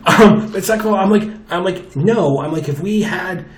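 Booming man chatting in a roomy space. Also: moderate pace. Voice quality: loud booming voice. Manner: chatty, animated storytelling with restarts. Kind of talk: casual chat. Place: table with four people. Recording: roomy echo.

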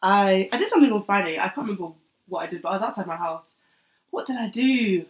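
A young woman with a soft, quiet voice, chatting casually as a podcast co-host. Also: mid-range voice, animated, quick pace, slight background noise. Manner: quiet, laid-back delivery. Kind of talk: casual chat.

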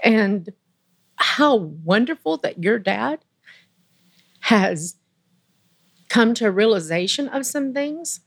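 A woman with a low voice telling a personal story as an interview guest, earnest and emotional, with pauses and restarts. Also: lively intonation.

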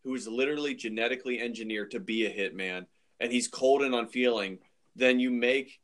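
A man's voice: medium pitch, fairly quick, fairly bright tone. A man co-hosting a podcast, talking about films casually with exasperated, informal phrasing full of "like" and "dude". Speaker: podcast co-host. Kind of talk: casual opinionated film discussion.

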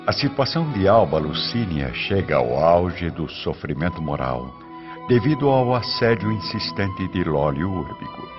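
A man narrating a scripted radio drama in a solemn, measured and expressive way. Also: low voice. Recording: dull, band-limited radio recording, music bed.

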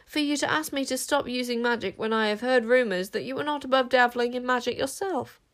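A woman voicing a calm, dignified male enchanter king, measured and ironic, in an audiobook story. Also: a little high, pitched above the performer's own voice. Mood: wry.

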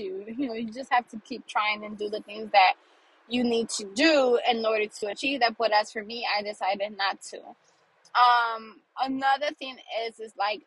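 Bright, earnest woman in her thirties. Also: a little high, breathy edge, poor audio. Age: 30s. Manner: earnest, emphatic, repeats phrases for emphasis. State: congested. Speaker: podcast host. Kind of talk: casual monologue podcast.

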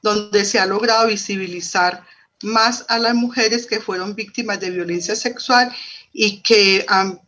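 A woman's voice: mid-range voice, bright, forceful tone, highs cut off. A woman with a Colombian accent, a researcher and professor, explaining her work as an interview guest.

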